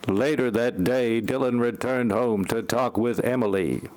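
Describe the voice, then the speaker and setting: A man giving deadpan scripted narration for a parody audio drama. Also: mid-range voice, unhurried. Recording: prominent music under the voice. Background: music.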